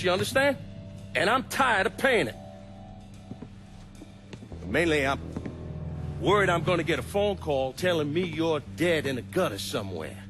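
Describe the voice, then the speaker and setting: Tired old crime boss dad, gruff n sweary, highish voice, music under it. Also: male voice. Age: older. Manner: gruff, profane, lecturing. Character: weary, bitter old crime boss and father. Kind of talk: cinematic game acting. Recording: music behind the voice.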